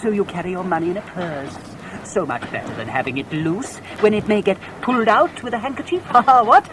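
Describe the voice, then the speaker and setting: A man's voice: high-pitched, very animated, brisk, noisy background. Oily, swooping sham clergyman. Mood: sly, chuckling. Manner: pompous, chuckling, ending remarks with "what?". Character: scheming sham clergyman, effusive, oily and falsely jovial. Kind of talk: dramatised audiobook acting.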